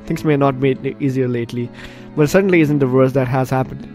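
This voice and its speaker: A man's voice: medium pitch, fairly even intonation, quick pace, darkish tone. A man hosting a game playthrough, reading the story aloud with reactions, often stumbling and correcting his words. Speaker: gaming video host. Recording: game music behind the voice. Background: game music.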